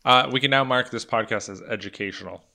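A man's voice: medium pitch, fast, creaky, bright, forceful tone. A man co-hosting a casual podcast, opinionated and teasing in his banter. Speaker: podcast co-host.

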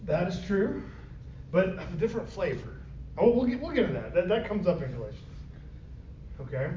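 A man's voice: high voice, big pitch swings, fairly quick, mellow. A man teaching a Bible study class, talking conversationally and often asking the group questions. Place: classroom or church meeting room. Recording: roomy, distant, dull lo-fi with faint noise.

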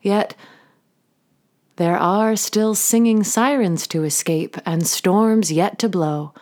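A woman's voice: medium pitch, slow pace, a little mellow. A woman narrating an audio drama as its host, in a theatrical, emphatic storytelling manner.

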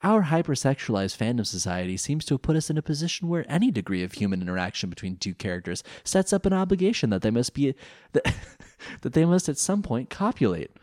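A man's voice: medium pitch, sing-song, fast talker, darkish tone, no room sound, clean studio sound, pitched above the performer's own voice, natural voice. A man voicing a smug, suave young male rival with a raised, drawling voice.

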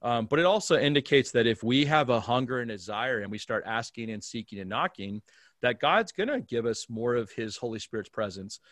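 An American man with a medium-pitched voice talks as a pastor being interviewed. He explains things in an earnest, conversational way and often checks that the listener follows. The sound is dry, with little room in it. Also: expressive, brisk, fairly bright tone, no echo. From Alaska, United States.

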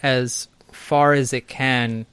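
Smooth-voiced guy hosting, takes his time, dry close sound. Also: medium pitch, steady tone, fairly bright tone, no echo. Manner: slow, measured, thoughtful. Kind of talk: casual conversation.

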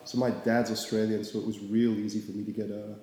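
Relaxed young man in an echoey room. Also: lowish voice, fast. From Singapore. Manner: relaxed, rambling, many fillers. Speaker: artist guest. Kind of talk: casual interview. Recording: echoey room with long reverb.

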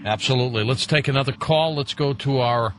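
A man's voice: mid-range voice, fairly quick. A man hosting a talk radio call-in show, addressing callers and guests. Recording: noisy radio broadcast audio. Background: noise.

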